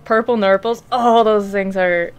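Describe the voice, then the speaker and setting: A woman's voice: mid-range voice, steady tone, measured pace, smooth, faint music behind. A young woman casually commentating a video game as she plays, chatty and easily distracted, swearing and laughing now and then, on a headset mic in a small room at home. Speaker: gaming streamer. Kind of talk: casual let's play commentary.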